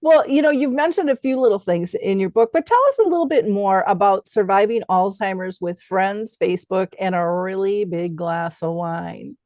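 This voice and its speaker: A woman's voice: medium pitch, moderate pace, hardly any pauses, a little mellow, no echo, studio quality. A woman hosting a casual interview, speaking in an affirming, encouraging, conversational way. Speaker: interview host.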